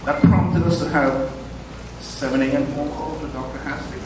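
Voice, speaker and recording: A man's voice: fairly high pitch, steady tone, normal speed. A man giving a rambling, self-deprecating speech at a brunch event, full of ums and asides. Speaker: event speaker. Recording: echoey hall from far off, noisy.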